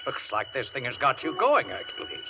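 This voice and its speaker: A man's voice: mid-range voice, huge pitch swings, moderate pace. An actor playing a sly, menacing older uncle, a carnival man with a folksy, falsely affectionate manner, in an old-time radio thriller. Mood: sly menace. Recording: dull, noisy old radio recording.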